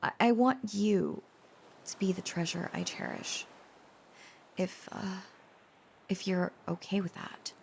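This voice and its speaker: A woman playing a shy, protective dragon-descended lover in an intimate romantic audio roleplay, speaking haltingly and earnestly, often dropping toward a breathy whisper. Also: mid-range voice, a little mellow, slight background noise. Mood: adoring, tender. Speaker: voice actor.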